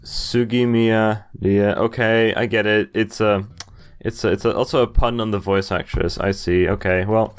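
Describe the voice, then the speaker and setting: A young man reading game text aloud and commenting as he plays, with amused, halting asides. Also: on the low side, fairly even intonation, clean tone. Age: young adult. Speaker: video game player and commentator. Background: game music.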